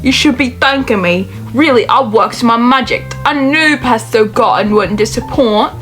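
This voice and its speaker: A woman's voice: fairly high pitch, moderate pace, a bit bright, lightly acted. A snarky young man from a story game, put on by a woman. He is blunt, mocking and meddlesome. Faint music plays underneath. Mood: teasing.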